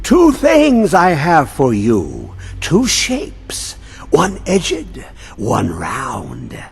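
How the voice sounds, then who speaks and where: A man voicing a mad, obsessed old male scholar who talks in riddles and swings between high and low. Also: huge pitch swings, extremely slow, creaky, husky, full character voice. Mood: cryptic. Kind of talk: video game voice acting. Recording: game audio with faint music.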